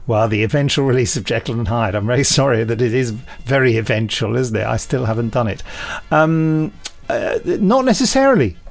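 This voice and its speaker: A man's voice: medium pitch, expressive, moderate pace, gravelly, few pauses. A British man in casual podcast chat, jokey and hesitant, rambling through his answers. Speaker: podcast host.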